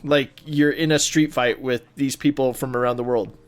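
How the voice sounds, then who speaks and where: A man's voice: mid-range voice, steady tone, normal speed. A man co-hosting a podcast, talking casually like a fan, informal and a little sweary. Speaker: podcast co-host. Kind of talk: casual chat about movies.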